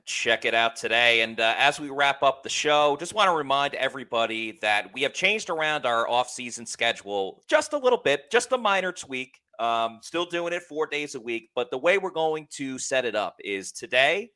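A man's voice: medium pitch, animated, moderate pace, few pauses, bright, forceful tone. A man hosting a sports podcast, making friendly casual announcements with filler words. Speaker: podcast host.

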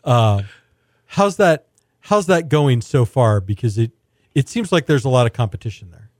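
A man's voice: medium pitch, lively intonation, moderate pace, dry recording. Curious male podcast host, dry mic. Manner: curious, rephrases questions as he goes. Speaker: podcast host, food interviewer. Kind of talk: casual interview questions.

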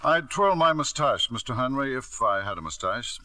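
An actor playing a ruthless self-made millionaire father, narrating and acting in a 1940s radio drama with a crisp, sardonic delivery. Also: mid-range voice, animated, moderate pace, bright, forceful tone, no echo. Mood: cool menace. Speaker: narrator and lead character. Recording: dry old radio broadcast.

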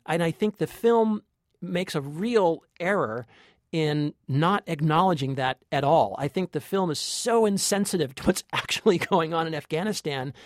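A man's voice: a little high, dry recording, studio quality. A man co-hosting a film review podcast in casual chat, emphatic and often repeating himself for effect. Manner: emphatic, repeats himself, mimics lines mockingly.

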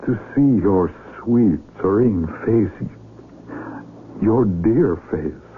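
Slow, low-voiced anguished lover. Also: male voice, expressive, slightly rough, exaggerated voice. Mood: tender, wistful. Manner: earnest, poetic, slow and deliberate. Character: anguished sighted mountaineer, tender lover facing blinding. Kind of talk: radio drama acting. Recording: narrow, noisy old AM radio recording.

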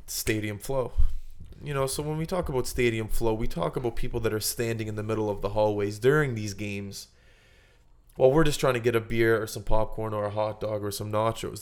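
A man speaks in a fairly low voice. He chats casually as a podcast host, laying out his points with mock seriousness. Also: darkish tone. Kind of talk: casual chat.

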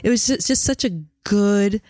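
Woman, podcast co-host, upbeat, teasing, mid pitch, dry close sound. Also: steady tone, normal speed, a little mellow, no room sound. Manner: upbeat, laughs easily, teasing. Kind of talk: casual chat.

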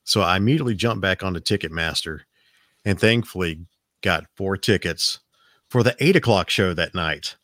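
A middle-aged man, his voice a little raspy, hosting a podcast and telling a story in a rambling way, repeating his words. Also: low-pitched, moderate pace, a bit bright, dry recording, clean studio sound. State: slightly raspy voice. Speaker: podcast host. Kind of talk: casual storytelling chat.

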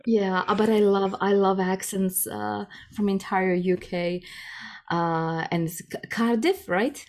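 A woman's voice: medium pitch, fairly even intonation, slow pace, darkish tone. A woman with a Croatian accent, a native Croatian speaker, chatting casually and giggly as an interview guest.

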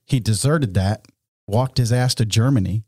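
A man's voice: on the low side, moderate pace, dark tone, husky, no room sound, clean studio sound. A man co-hosting a podcast, telling stories in a blunt, easygoing and informal way. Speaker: podcast co-host. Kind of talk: casual podcast conversation.

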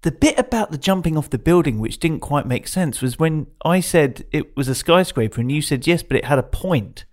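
A chatty man hosts a relaxed interview, teasing his guest and running off on playful tangents. His talk is quick and conversational, full of asides. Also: fairly high pitch. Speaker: podcast host. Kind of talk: casual interview chat.

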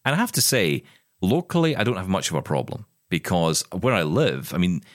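Deep-voiced man, rambling podcast chat. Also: no echo, clean studio sound. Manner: rambling, repeats words when starting sentences. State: blind, describing progressive vision loss. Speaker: podcast co-host. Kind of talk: casual chat.

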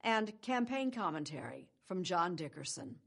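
An older woman hosting a morning news program, reading scripted introductions in a measured, polished way. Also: fairly low pitch, very animated, creaky, fairly bright tone. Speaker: news show host. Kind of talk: scripted broadcast narration.

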